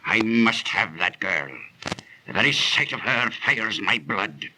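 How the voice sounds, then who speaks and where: An actor playing a crafty, lustful seafaring merchant villain in a commanding, archaic manner of 1930s radio drama. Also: male voice, lowish voice, animated, unhurried, pushed and bright, heavily acted, poor audio. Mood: menacing, commanding. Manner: commanding, scheming, archaic formal speech. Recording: old 1930s radio recording.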